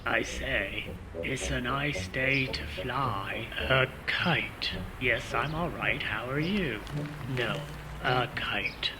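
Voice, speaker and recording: A man's voice: mid-range voice, slow pace, natural voice. A man playing a spy in a comedic radio play, on a noisy recording. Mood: stern, exasperated. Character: comic pair of male spies, one stern, one dim.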